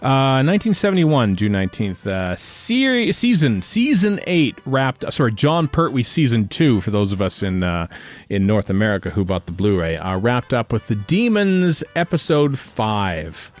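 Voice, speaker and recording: A man's voice: medium pitch, sing-song, normal speed, soft background music. A man chatting casually as a podcast host, joking and rambling as he interrupts himself. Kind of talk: casual fan podcast chat.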